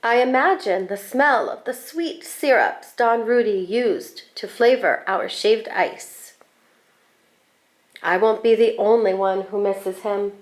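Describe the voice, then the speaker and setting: A woman reading a children's picture book aloud in an expressive, deliberate storytelling manner. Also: medium pitch. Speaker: school staff storybook reader.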